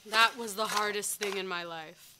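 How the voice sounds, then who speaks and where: A young actress playing a heartfelt farmworker daughter recalling hardship in a dramatized monologue. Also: female voice, mid-range voice, moderate pace, background noise, close to an everyday voice. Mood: pained. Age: young adult. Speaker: actor performing a monologue. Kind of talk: dramatized oral history monologue.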